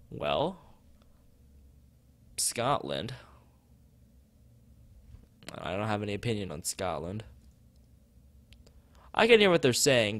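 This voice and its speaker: A man's voice: lowish voice, a bit bright, no echo. A man streaming as he reads a visual novel aloud and chats with viewers, often stumbling and rereading phrases. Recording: background music behind voice.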